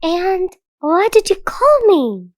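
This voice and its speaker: A woman voicing a curious, brave twelve-year-old village girl in an animated storybook tale, with childlike questioning delivery. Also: very high-pitched, slow pace, no room sound, clean studio sound, pitched above the performer's own voice, heavily acted.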